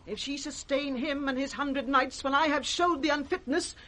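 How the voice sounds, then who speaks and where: Forceful, imperious British noblewoman. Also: sits high, moderate pace. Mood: commanding. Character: imperious, scornful noblewoman, scheming elder daughter of a king. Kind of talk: 1960s British radio Shakespeare acting. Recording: noisy vintage 1960s radio recording.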